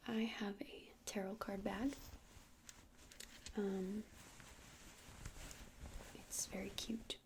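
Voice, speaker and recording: A woman whispering softly and breathily in an ASMR roleplay as a friendly witch shopkeeper, explaining things in a gentle, hesitant, rambling way. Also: medium pitch, flat delivery, very slow, pauses often, a little hiss or hum. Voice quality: breathy unvoiced whisper with creaky edges. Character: friendly witch shopkeeper selling crystals and incense. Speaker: ASMR roleplayer playing a crystal shop witch.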